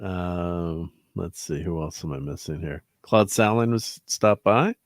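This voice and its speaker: A man's voice: sits low, expressive, measured pace, no room sound, clean studio sound. A man hosting a casual livestream, rambling through chat names and laughing often. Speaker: host.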